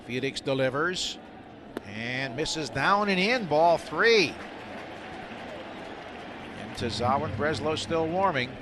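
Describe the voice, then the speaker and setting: A man's voice: fairly high pitch, expressive, slow, long pauses. A man doing live radio baseball play-by-play in short, clipped, descriptive phrases. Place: outdoor ballpark broadcast booth. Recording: loud stadium noise behind the voice.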